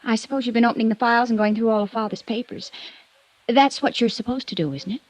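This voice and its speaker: An actress playing a spoiled, pouting rich young woman in a 1950s radio drama, coy and teasing but quick to get defensive. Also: fairly high pitch, no room sound, close to an everyday voice. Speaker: rich horse owner's daughter. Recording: dull dry vintage radio recording.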